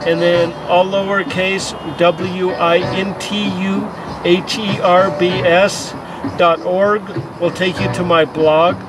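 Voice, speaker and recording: A man who is a native herbalist, introducing himself in a calm, plainspoken way. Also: high voice, takes it slowly, noisy background. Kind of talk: casual on-camera introduction.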